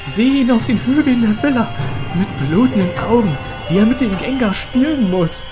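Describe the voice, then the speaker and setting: A man's voice: very high-pitched, expressive, normal speed, music in the background. A man playing a haunted, desperate young monster trainer, telling his story in a horror audio drama. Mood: desperate pleading. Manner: dramatic, anguished. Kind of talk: first-person horror audio drama narration.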